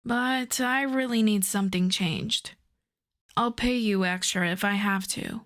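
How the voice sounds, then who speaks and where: An infamous supervillain, acted by a woman speaks at a slow, unhurried pace in a mid-range female voice. She is wry and flirtatious, coaxing and teasing in an intimate, conversational way suited to an ASMR roleplay drama. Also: mid-range voice, fairly bright tone, lightly acted. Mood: coaxing, sheepish.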